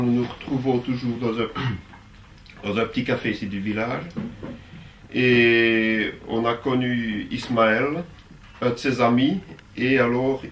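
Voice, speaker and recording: A man, the president of a village tourist office, telling an interviewer about carnival in an unhurried, relaxed way. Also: mid-range voice, a little hiss or hum. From Belgium. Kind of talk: documentary interview. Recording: roomy, distant mic with music.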